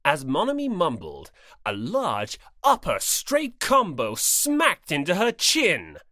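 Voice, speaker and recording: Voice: mid-range voice, wildly swooping pitch, takes it slowly, pushed and bright, no echo, studio quality. An audiobook narrator reading scripted story narration in the third person.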